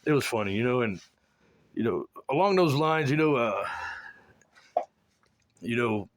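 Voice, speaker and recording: A man from South Florida talking in a rambling personal monologue, halting and full of restarts, often trailing into low mumbles. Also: animated. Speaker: man recounting his life.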